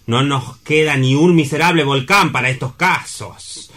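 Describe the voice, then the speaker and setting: Man acting courteous, high-voiced, didactic gentleman. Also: measured pace. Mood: courteous, wry. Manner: formal, polite, didactic. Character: courteous, wry man calmly reasoning with a would-be suicide. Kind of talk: theatrical dialogue from a comic stage play.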